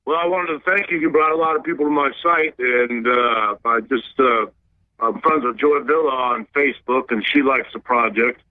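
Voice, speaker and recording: A man's voice: medium pitch, moderate pace, dry recording. A man calling in to a radio show by telephone, talking earnestly and somewhat ramblingly. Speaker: caller. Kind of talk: call-in radio. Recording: dull, dry phone-line sound.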